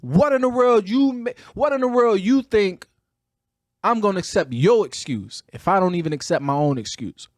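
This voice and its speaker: High-voiced Chicago man, emphatic motivational preaching. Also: lively intonation, moderate pace, no room sound, studio quality. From Chicago, United States. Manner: emphatic, repetitive, colloquial, drawing out key words. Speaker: podcast host, motivational speaker. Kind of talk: motivational talk with preacher-like delivery.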